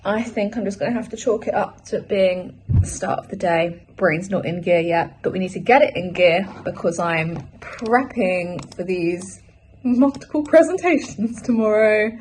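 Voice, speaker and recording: A young British woman in her twenties vlogs in a chatty, self-deprecating way, with a medium-pitched voice. She talks casually to the camera and repeats phrases for emphasis. There is faint everyday noise behind her. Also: moderate pace, a little mellow, poor audio. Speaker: vlogger. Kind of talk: casual vlog chat to camera.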